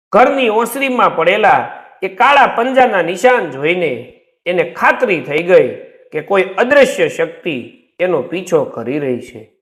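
Man, gujarati accent, high animated voice, dramatic horror storytelling. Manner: dramatic, expressive storytelling with rising and falling pitch. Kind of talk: scripted horror story narration.

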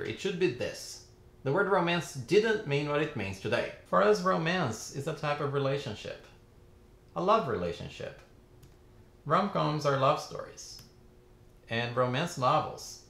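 Lively man lecturing with animated pitch. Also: roomy sound. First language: Portuguese. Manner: lively, expressive, gestures with hands. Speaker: literature lecturer. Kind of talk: video lecture. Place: home study with bookshelves. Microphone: camera framing, chest-up.

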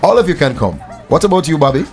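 A man's voice: on the high side, lively intonation, fast, loud music behind the voice, lightly acted. A man with a Jamaican accent playing an affable, earnest young airline pilot in a scripted radio drama. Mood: earnest, reflective.

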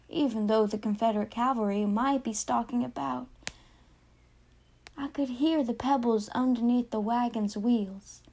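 A woman playing an excited little farm girl of long ago, telling her story in a wide-eyed, eager storytelling manner. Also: a little high. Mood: dreamy wonder. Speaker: student performer. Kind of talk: dramatised oral-history monologue.

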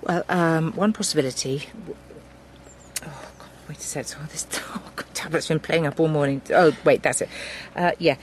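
An actor as a halting, conciliatory Englishman. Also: on the high side, steady tone, slight background noise, close to an everyday voice. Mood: tentative. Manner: halting, tentative. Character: hesitant, conciliatory farming son negotiating with his sister. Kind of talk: naturalistic radio drama.

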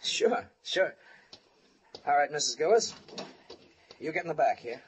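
A man's voice: a little high, animated, unhurried. An actor playing an obliging, slightly flustered phone repairman in a 1940s radio drama, with a folksy, casual manner. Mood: accommodating. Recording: slightly noisy old radio broadcast.

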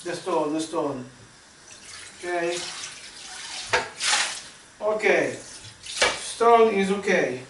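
Slow man, echoey kitchen. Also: sits high, animated. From Vietnam. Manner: halting, searching for English words, many restarts. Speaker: cooking channel host. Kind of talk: casual cooking demonstration. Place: home kitchen, indoors. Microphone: camera mic, often at arm's length or across the room. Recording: echoey kitchen with faint noise.

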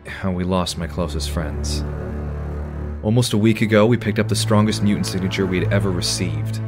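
A man's voice: deep voice, close to an everyday voice. An actor playing an earnest, stern young male superhero team leader in an audio drama, serious and commanding.

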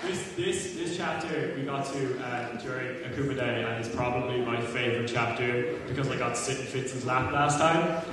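A man's voice: mid-range voice, moderate pace. A man hosting a live comic fan fiction reading, mock-dramatic and full of jokey interjections. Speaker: panel host reading fan fiction aloud. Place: large convention hall, audience camera. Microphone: far camera in the audience. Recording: echoey hall from far off, loud crowd.

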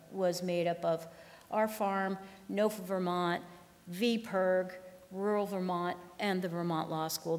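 A woman farm manager gives a keynote from a podium in a large lecture hall. Her voice sits low, and the hall adds a long reverb tail. She talks conversationally, full of ums and restarted phrases. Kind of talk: conference keynote talk. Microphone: podium microphone.

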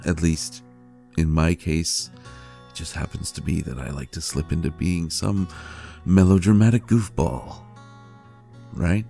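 An ancient male vampire, acted by a man, speaks tenderly to a lover in an intimate audio drama. The voice is extremely low, with gravelly fry and a dark, mellow tone. He is soft, halting and sincere, with a melodramatic streak. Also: soft background music. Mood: sheepish, affectionate. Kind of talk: intimate roleplay audio acting.

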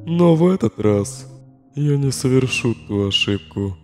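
A man's voice: medium pitch, expressive, a little slow, lightly acted. A man voicing a weary, sardonic, protective skeleton in a comic dub, with a subdued, dry, sighing delivery. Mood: resigned, grim. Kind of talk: dramatic fan comic dub. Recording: long hall reverb, faint music behind.

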